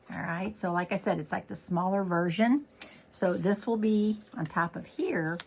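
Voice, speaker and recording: A middle-aged woman demonstrating card making in a chatty step-by-step tutorial, slightly hoarse as she is losing her voice. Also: on the low side, slight background noise. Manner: chatty, walks through each step. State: losing her voice, throat clearing. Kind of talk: casual crafting tutorial.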